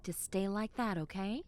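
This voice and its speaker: A young adult woman voicing a kind, caring dentist in an audio roleplay, speaking softly and reassuringly, often dropping into a whisper, with a playful, teasing edge. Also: medium pitch. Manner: soft, gentle and reassuring, slipping into whispers; playful, with frequent soft giggles. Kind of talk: ASMR roleplay.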